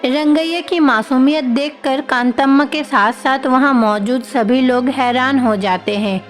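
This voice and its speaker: A woman's voice: high-pitched, a little slow, in a large hall, soft background music. A woman reading scripted narration for a moral bedtime story.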